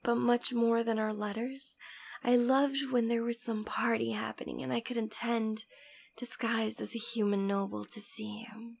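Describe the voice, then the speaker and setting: A woman's voice: on the high side, level tone, measured pace, creaky, a little mellow. A woman playing a devoted, teasing demon queen in an ASMR roleplay, speaking intimately and tenderly, often dropping toward a whisper. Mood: fond, reminiscing. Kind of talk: ASMR roleplay audio drama.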